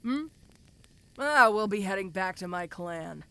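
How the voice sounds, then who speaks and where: Smooth, bright woman as a bossy ogre giantess. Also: mid-range voice, expressive, a little slow. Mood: reassuring, teasing. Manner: commanding, casual, teasing. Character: domineering giant female ogre mercenary, tough yet teasing. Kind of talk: first-person audio roleplay addressed to the listener.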